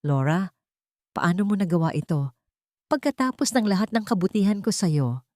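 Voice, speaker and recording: Brief: woman reading as a two-faced stepmother, low-pitched, sweetly cold. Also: big pitch swings, moderate pace, darkish tone, no room sound, clean studio sound. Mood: reproachful. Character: scheming sweet-talking stepmother, adult woman.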